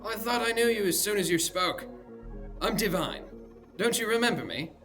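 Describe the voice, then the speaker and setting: A man's voice: high voice, very animated, normal speed, bright, forceful tone, faint music behind, pitched above the performer's own voice, heavily acted. A man voicing a slender, younger man with a sarcastic, mocking drawl. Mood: surprised.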